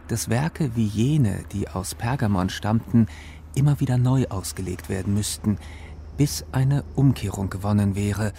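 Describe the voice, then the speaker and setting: A man's voice: lowish voice, gravelly, dark tone. A man giving a literary reading of prose from a novel, with noise behind him. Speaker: reader of a novel excerpt.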